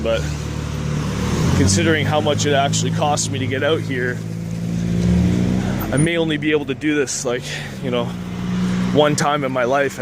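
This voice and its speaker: A young man from Canada talking casually while walking outdoors, rambling and laughing often. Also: medium pitch. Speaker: vlogger. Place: city street outdoors. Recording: outdoor street noise. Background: street traffic.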